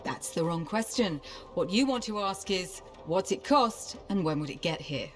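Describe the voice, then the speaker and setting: A woman's voice: on the low side, expressive, moderate pace, faint music behind, lightly acted. A woman playing a shrewd, brash dealmaker in a video game, confident and businesslike. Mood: breezy, bantering. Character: shrewd, brash female bar-keeping dealmaker. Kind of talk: naturalistic video game acting.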